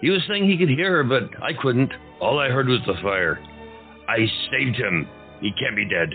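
A man's voice: mid-range voice, animated, normal speed, narrow, tinny audio, faint music behind, lightly acted. An actor playing a simple, shell-shocked male patient who pleads with childlike insistence in an audio drama. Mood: anguished.

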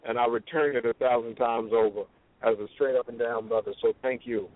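A man's voice: mid-range voice, steady tone, a little fast, dry recording. Casting: man as slangy comedian plugging shows by phone, mid-range voice, emphatic repetition, narrow telephone sound. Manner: slangy, hyping, repeats phrases for emphasis. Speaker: comedian guest promoting live shows. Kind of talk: casual radio phone interview. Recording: tinny phone line, dry.

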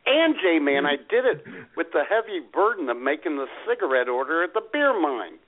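A man's voice: a little high, very animated, normal speed. A man bantering casually as a talk show co-host, teasing and mock-dramatic in his delivery. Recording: narrow phone-like radio sound.

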